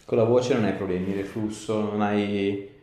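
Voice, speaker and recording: A man's voice: lowish voice, steady tone, normal speed, mellow, roomy sound, slight background noise. A man in his thirties, a chiropractor chatting informally and reassuringly with a patient in a small treatment room. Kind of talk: casual chat with a patient during treatment.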